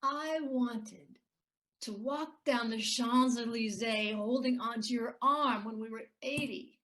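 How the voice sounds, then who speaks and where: A woman's voice: on the high side, slow, a bit bright, poor audio. A woman playing a bitter, hard-drinking ex-wife in an audio play, taunting and sardonic. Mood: wounded, taunting.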